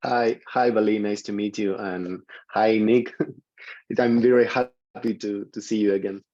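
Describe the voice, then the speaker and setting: A man's voice: lowish voice, fairly even intonation, unhurried, darkish tone. Enthusiastic man, dull audio. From Chile. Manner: enthusiastic, searching for words. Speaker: seminar participant, writer.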